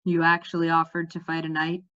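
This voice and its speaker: Courteous young storybook king, read by a woman, a little doubtful, bright, slightly dull audio. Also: sits low, monotone, a little fast, pitched below the performer's own voice. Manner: polite, questioning. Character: polite, mildly doubtful young male king.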